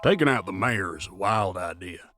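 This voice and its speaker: An actor playing a gloomy, self-doubting small-town man in a western audio drama, hesitant and drawn-out. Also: sits low, expressive, music in the background. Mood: warming up.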